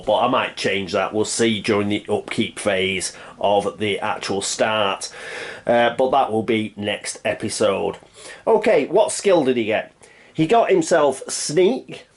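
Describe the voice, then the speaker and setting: A man's voice: on the low side, darkish tone. A man with a stuffy nose from a cold, chatting casually through a board game setup and thinking aloud with plenty of fillers. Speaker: board game channel host.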